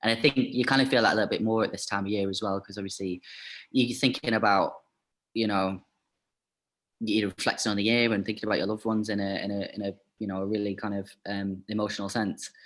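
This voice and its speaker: A man's voice: on the low side, steady tone, fast, a bit bright. A man chatting as an interview guest, talking casually with lots of fillers and "like".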